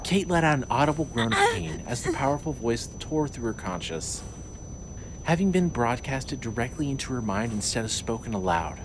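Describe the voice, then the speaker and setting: A man's voice: medium pitch, big pitch swings, moderate pace. A man narrating a scripted horror story as its storyteller. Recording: clearly noisy ambient background.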